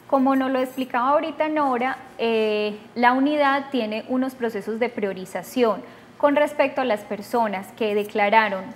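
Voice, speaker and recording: A woman with a Colombian accent politely and formally explaining procedures to callers as an adviser. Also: a little high. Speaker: victims' unit adviser. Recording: faint background noise.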